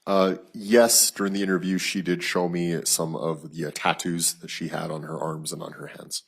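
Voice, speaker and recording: A man with an American accent giving formal, halting, matter-of-fact courtroom testimony as a police detective. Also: deep voice, flat delivery, gravelly, slightly rough.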